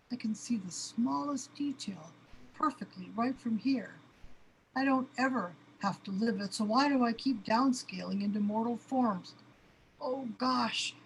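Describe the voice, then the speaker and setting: Woman playing anguished high-voiced cosmic being. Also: fairly bright tone, slight background noise, rough recording. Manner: anguished, questioning. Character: overwhelmed, panicky cosmic being trapped in its own space body. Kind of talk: dramatic radio play acting.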